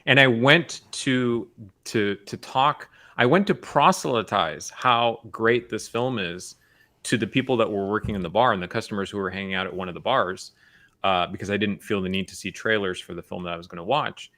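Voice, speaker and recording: A man's voice: lowish voice, normal speed, sharp tone. An American man on a film talk show, reasoning analytically through his points in casual conversation.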